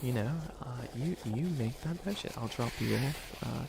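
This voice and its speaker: Over noticeable background noise comes a man playing a centuries-old wicked witch in a comedic ASMR roleplay. The voice is soft and crackles with fry at an average pitch. The witch stammers and rambles, awkwardly reassuring while wishing to be feared. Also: moderate pace, close to an everyday voice. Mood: gentle, reassuring.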